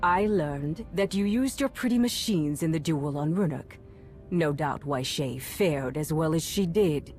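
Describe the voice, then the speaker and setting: A woman voicing a calculating, coldly menacing warlord in a video game, measured, solemn and authoritative. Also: low-pitched, animated, a little mellow. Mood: quiet menace. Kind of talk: dramatic video game monologue. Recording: music under the voice.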